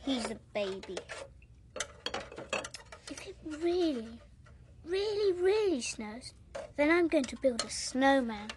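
A woman's voice: high-pitched, very animated, slow pace, long pauses, noisy background, full character voice. A woman playing a bossy, eerie little boy with a British accent in a radio horror drama, insistent, repetitive and taunting. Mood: bored, scornful.

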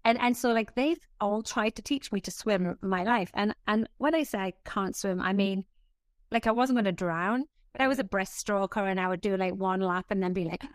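Woman, fifties, Northern English accent with North American tinge, medium pitch, blunt casual storyteller, dry close sound. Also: normal speed, no room sound. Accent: Northern English with some North American influence. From northeast of England. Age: 50s. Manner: chatty, blunt, sweary, jokey. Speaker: podcast guest, fitness expert and author. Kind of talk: casual chat, telling anecdotes.

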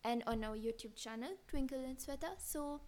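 A young woman with a high voice and a mixed, Kenyan-influenced accent chats as a podcast co-host. She talks loosely and giggles often, with "like" in nearly every sentence. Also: normal speed, a little mellow. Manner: giggly, chatty, fillers like "like". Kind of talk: casual chat.

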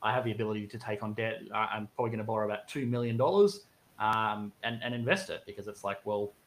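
A man's voice: lowish voice, quick pace, a bit bright. A man in a casual economics discussion, conversational and given to voicing imagined speakers. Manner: conversational, acts out hypothetical speakers.

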